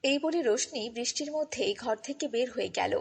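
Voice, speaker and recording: A woman's voice: fairly high pitch, fairly quick, vocal fry, slightly rough, in a large hall. A woman narrating a scripted children's fairy tale as a storyteller.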